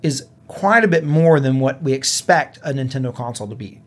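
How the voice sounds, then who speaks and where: A man's voice: medium pitch, brisk. A man co-hosting a casual gaming podcast, talking in an earnest, conversational way. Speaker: podcast co-host.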